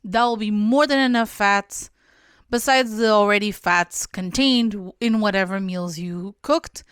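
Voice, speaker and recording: A woman's voice: medium pitch, measured pace, fairly bright tone, smooth, no echo, studio quality. A young woman from Portugal hosting a solo podcast, giving friendly, instructive advice and sometimes correcting herself mid-sentence. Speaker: podcast host.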